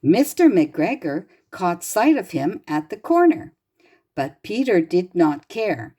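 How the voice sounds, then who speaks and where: A woman reading a children's storybook aloud in an expressive, gentle and deliberate manner, as if to young listeners. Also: fairly low pitch. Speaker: storybook reader.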